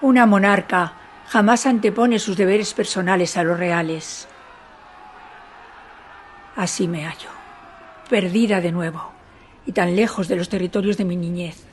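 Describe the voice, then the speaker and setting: A woman's voice: on the low side, a little slow, pauses often, a little hiss or hum, close to an everyday voice. An actress playing a dignified, grieving queen who recalls her youth in a solemn, literary radio drama monologue. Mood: resolute, wistful.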